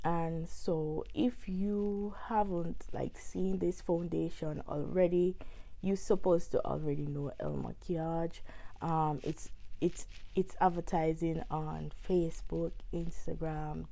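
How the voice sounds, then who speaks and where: Hoarse, stuffy young woman vlogging slowly. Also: sits low, fairly even intonation, warm tone, rough recording. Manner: rambling, many ums and restarts. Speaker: beauty vlogger. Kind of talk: casual unboxing vlog.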